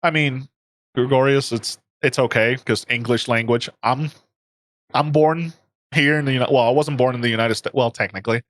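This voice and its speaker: A man's voice: medium pitch, fairly even intonation, moderate pace, fairly bright tone, no echo. Man with a dry sound. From Puerto Rico. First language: Spanish. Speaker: guest gamer. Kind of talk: casual chat on a stream.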